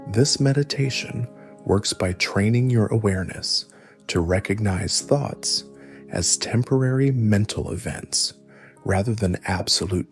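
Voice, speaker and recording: A man narrating a scripted guided meditation, giving gentle instructions in a soothing, measured way. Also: on the low side, gravelly.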